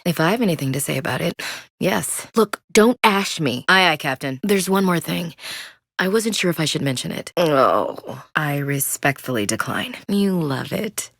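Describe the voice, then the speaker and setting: A young American woman marine in a cinematic sci-fi game. Her voice sits low for a woman, in a dry, close recording with no room sound. She speaks with blunt military directness, candid and wry. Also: expressive, normal speed, a bit bright, no room sound. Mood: wry, frank. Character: tough, candid young female space marine. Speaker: video game voice actor.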